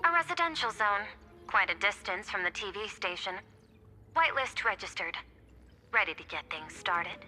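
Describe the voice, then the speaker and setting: A woman playing a composed, efficient young accomplice in a video game, speaking with crisp, coolly confident poise. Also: mid-range voice, expressive, moderate pace, sharp tone, faint music behind. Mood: businesslike. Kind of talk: scripted video game character acting.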